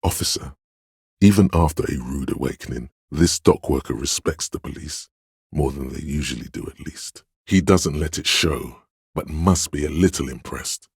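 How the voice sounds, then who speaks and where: An actor playing the inner voice of authority in a video game, a proud, domineering man speaking with deliberate, imperious command. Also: rumbling low, a little mellow, breathy edge, no echo, clean studio sound, full character voice. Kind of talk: scripted video game voice acting.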